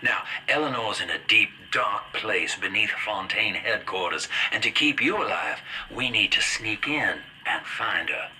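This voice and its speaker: An actor playing a folksy, plain-spoken guide and ally in a video game, colloquial and matter-of-fact. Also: male voice, fairly low pitch, lively intonation, measured pace, hardly any pauses, noisy background. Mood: grave, urgent.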